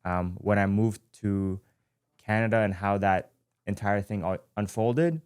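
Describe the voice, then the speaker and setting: A man in his twenties from Iran, telling his life story in a relaxed, reflective way as host of his own show, often pausing and correcting himself. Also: fairly low pitch, smooth, no echo, studio quality. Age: 20s. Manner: reflective, with frequent fillers and self-corrections. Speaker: podcast host. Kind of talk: casual personal storytelling. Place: studio.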